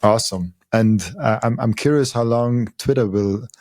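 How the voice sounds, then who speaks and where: A man hosting a podcast in a friendly, halting way, with many fillers and stumbles. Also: mid-range voice, a little mellow, no echo, clean studio sound. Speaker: podcast host. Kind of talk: casual interview talk.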